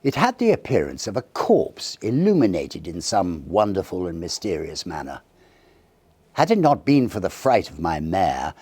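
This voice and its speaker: A man giving a theatrical, measured narration of a Victorian ghost story, with dramatic pauses. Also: deep voice, darkish tone, husky, dry recording. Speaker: audiobook narrator.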